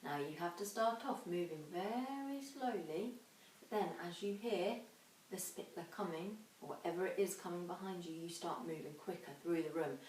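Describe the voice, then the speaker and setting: A woman's voice: fairly low pitch, a little mellow, slight background noise. A woman, an infant teacher reading and talking to young children in a dramatic, emphatic way. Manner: dramatic, emphatic, drawn-out sound words. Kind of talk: expressive children's storybook reading and instruction. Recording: echoey room, distant microphone.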